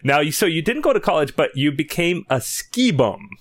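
A man's voice: a little high, very animated, moderate pace. A man hosting an interview, prompting his guest with short questions and frequent "wow" and "mm-hmm" responses. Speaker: interview host.

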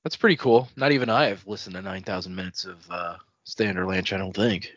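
A man's voice: brisk, a bit bright, no room sound, dull audio. A man co-hosting a podcast, bantering in a playful, jokey way. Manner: playful, jokey, swings in pitch. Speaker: podcast co-host.

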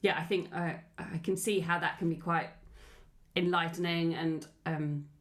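Lady interviewer, bit lower pitched, chatty and encouraging. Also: steady tone, measured pace. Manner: encouraging, affirming. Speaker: interviewer, host. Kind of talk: conversational interviewing.

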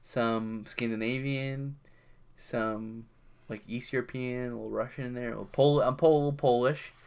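A young man co-hosting a podcast, teasing his co-host in relaxed, joking banter. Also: mid-range voice, measured pace, creaky, smooth. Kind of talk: casual chat.

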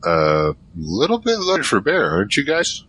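A man's voice: mid-range voice, wildly swooping pitch, measured pace, a bit bright, slightly rough, exaggerated voice. A man playing a wary team member who narrates an audio drama in a rambling, wry, conversational way. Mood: wry storytelling. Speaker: narrator and lead character.

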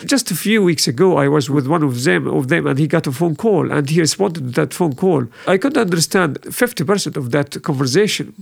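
Gravelly arabic-speaking man explaining things emphatically in an interview. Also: on the high side, lively intonation, brisk, few pauses. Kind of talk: earnest interview answer.

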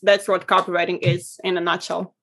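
A woman's voice: fairly low pitch, flat delivery, brisk, gravelly, fairly bright tone. A woman from Croatia, a copywriter and podcast guest, explaining her work in a friendly way with light laughs. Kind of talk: interview answers.

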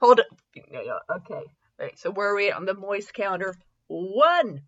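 A woman's voice: medium pitch, animated, normal speed, lo-fi sound. A woman hosting a comedy fanfiction reading, giggly and mock-dramatic, breaking off into casual asides. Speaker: podcast host reading fanfiction.

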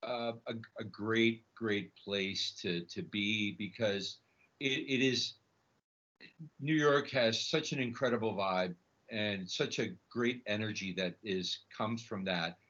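A middle-aged man from Chile telling his own story conversationally as a guest, halting and repeating his words. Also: fairly low pitch, a bit bright. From Chile, emigrated to the United States. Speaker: podcast guest, snack bar entrepreneur. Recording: dull, lo-fi audio.

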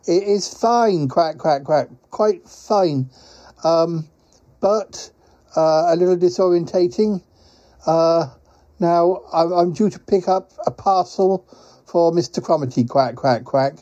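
Casting: male performer as a fussy, polite duck courier for comedy audio; highish, hesitant, quack-punctuated, slightly muffled recording. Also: takes it slowly, dark tone. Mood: fussy, chattering. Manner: hesitant, rambling, quacks as a verbal tic. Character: flustered, polite talking duck courier, male, pie-stuffed traveller. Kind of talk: comic audio skit for a podcast.

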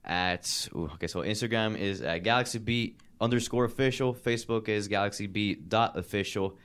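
A man's voice: fairly low pitch, normal speed, a bit bright, clean tone. A man in a band speaking casually as a guest on a podcast. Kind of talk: casual chat.

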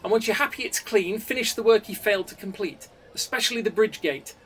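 A cold male crime boss who gives orders in a clipped, authoritative way, as a man acts him out. The voice sits higher than the reader's own. It sounds faintly hissy and a bit away from the mic. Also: a little fast, fairly bright tone, far from the mic, low-quality recording. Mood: commanding.

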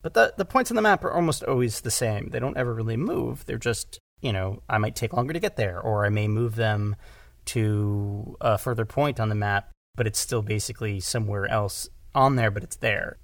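Man in casual talk, halting delivery. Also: on the low side, no room sound, clean studio sound. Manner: halting, self-correcting, repeats words. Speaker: audio drama writer-director, podcast guest. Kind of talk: casual conversational interview.

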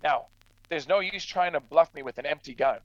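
A man's voice: a little high, a little fast, no echo, close to an everyday voice. Male actor as stern farmer, blunt, admonishing, radio drama, slightly dull dry recording. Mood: wary, protesting. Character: stern, practical Canadian farmer, blunt but fair-minded. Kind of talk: true crime radio drama acting.

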